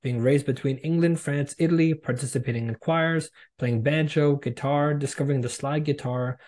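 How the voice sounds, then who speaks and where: A man's voice: on the high side, fairly even intonation, normal speed, darkish tone. A young man hosting a podcast, interviewing a guest over a video call in an eager way, with stumbling restarts and fillers. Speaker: podcast host. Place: home room.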